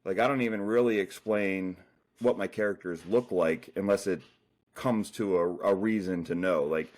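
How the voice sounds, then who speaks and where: A man's voice: sits low, normal speed, darkish tone. A man talking as a guest author in a casual interview, rambling loosely with many fillers.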